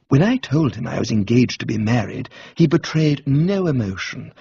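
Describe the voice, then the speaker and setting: Posh southern English man for comic audiobook narration: wry, lively intonation, dry close sound, slightly dull recording. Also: medium pitch, vocal fry, breathy edge, no echo. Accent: southern English, upper-class. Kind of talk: comic first-person scripted narration.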